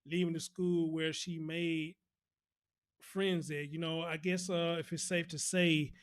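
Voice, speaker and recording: A man with a slightly high-pitched voice hosts a casual call-in show. He comments in a sympathetic manner and murmurs acknowledgments to the person he is talking with. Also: flat delivery, measured pace. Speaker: talk show host.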